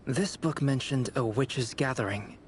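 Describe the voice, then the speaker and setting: An actor playing a composed, analytical young alchemist in a video game, speaking in a measured, scholarly way. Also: male voice, medium pitch, a little hiss or hum, lightly acted. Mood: calm, analytical. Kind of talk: video game character dialogue.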